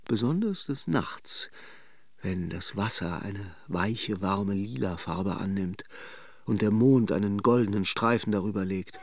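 An actor in a radio drama playing a suave, gossipy holiday idler, musing theatrically with ironic courtesy. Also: male voice, fairly low pitch, a little slow. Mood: dreamy musing. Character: suave, gossipy male holiday idler watching café guests.

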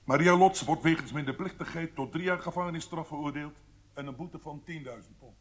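An actor in a radio drama playing a stern court official, reading out formally and solemnly. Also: male voice, on the high side, brisk, a bit bright, natural voice.

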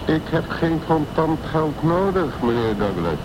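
An actor playing a worldly old private detective in a radio drama, deliberate, laconic and sardonic. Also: male voice, fairly high pitch, very animated. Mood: dry, laconic. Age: older. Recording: clear background noise.

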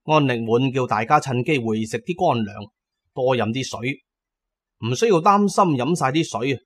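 A man's voice: medium pitch, lively intonation, moderate pace, fairly bright tone. A man narrating a first-person adventure story in a dramatic style.

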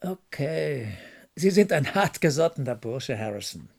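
An actor playing a brusque, imperious industrialist boss in a German radio play, speaking in domineering, clipped commands. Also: male voice, medium pitch, very animated, measured pace, gravelly, a little mellow, full character voice. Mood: commanding, irritated. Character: imperious male industrialist boss, brusque, demanding, devoted husband.

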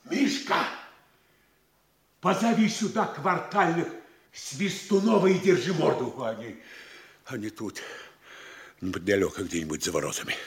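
A middle-aged small-town mayor, blustering and fretful, slow and emphatic with big swings of pitch, classical radio comedy. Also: male voice, vocal fry, slightly rough, heavily acted. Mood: alarmed, commanding, then grumbling, puzzled. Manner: grumbling, drawn-out emphatic phrases, exasperated muttering. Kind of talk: Russian classical stage comedy radio acting.

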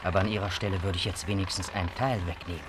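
An actor playing a cautious casino onlooker offering advice in a radio drama. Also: male voice, low-pitched, fairly even intonation, very fast, natural voice. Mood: cautioning. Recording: noisy old radio play recording.

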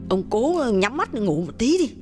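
A man voicing a grumbling, sharp-tongued middle-aged wife who scolds her husband in quick bursts. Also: very high-pitched, sing-song, over music, pitched above the performer's own voice. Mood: fretful. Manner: scolding, rapid, exasperated nagging.

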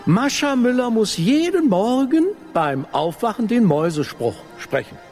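An actor playing a solemn, halting mouse foreign minister in a children's radio play. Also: male voice, very high voice, very animated, a little mellow, soft background music.

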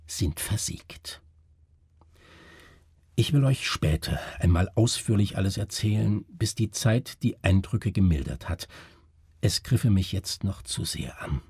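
Casting: male reader as a shaken, world-weary man telling a grim mystery, low-pitched and widely swinging intonation, grave literary delivery. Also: dark tone, breathy edge, dry recording, studio quality, heavily acted. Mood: grave, burdened. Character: weary, shaken man recounting an unsolved horror. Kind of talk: dramatic literary reading.

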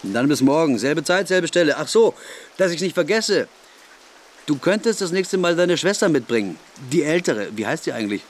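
A man's voice: fairly high pitch, expressive, moderate pace, slight background noise, close to an everyday voice. A man playing a bullying teenage boy in a radio play, sly and falsely friendly, taunting and giving orders. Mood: mocking, greedy.

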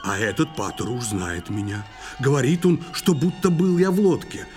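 An actor playing a boisterous, mischievous and menacing water spirit uncle in a children's verse audio play, theatrical and sing-song. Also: male voice, medium pitch, sing-song, normal speed, a little mellow, faint music behind, natural voice. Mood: insistent, boastful.

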